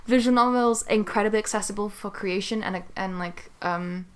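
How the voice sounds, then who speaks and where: A woman's voice: mid-range voice, normal speed, smooth. A woman hosting a podcast in casual chat, with a jokey, sweary way of talking. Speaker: podcast host.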